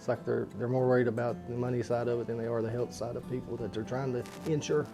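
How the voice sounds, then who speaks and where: A man, a coal miner, speaking in a flat, weary tone in a documentary interview. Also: medium pitch, quick pace. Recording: guitar music over a distant voice.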